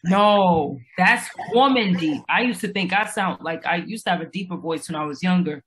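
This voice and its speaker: A woman's voice: lowish voice, normal speed, background noise. A woman co-hosting a reaction show who gives her opinions in a blunt, crude, emphatic way. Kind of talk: casual chat reacting to a video.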